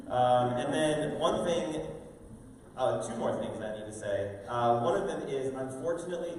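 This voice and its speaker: A man hosting a live show, giving an informal, halting welcome speech to an audience with drawn-out pauses. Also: mid-range voice, darkish tone. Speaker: host, school fine arts director introducing a show. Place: large indoor room with stage and audience tables. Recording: very echoey hall, distant mic, faint noise.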